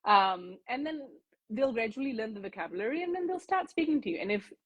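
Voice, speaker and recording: A woman's voice: fairly high pitch, animated, a little fast, breathy edge. A woman from Singapore talking in a casual interview, chatty, with plenty of fillers and restarts.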